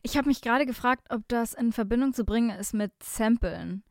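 A young woman co-hosting a podcast, introducing a guest and chatting in a relaxed, slangy way, thinking out loud. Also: a little high, brisk, no room sound, clean studio sound. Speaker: podcast co-host. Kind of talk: casual chat, introducing guest.

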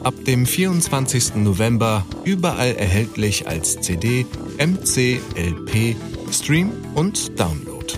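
A man reading scripted closing credits as an announcer, in a measured, orderly way. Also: mid-range voice, very animated, over music.

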